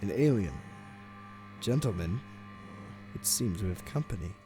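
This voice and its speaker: A man's voice: on the low side, expressive. Calculating villain boss played by a man, music behind. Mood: intrigued. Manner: measured, deliberate, authoritative. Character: calculating, commanding male gang boss, the villain. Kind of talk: audio drama acting. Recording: music under the voice. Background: dramatic music.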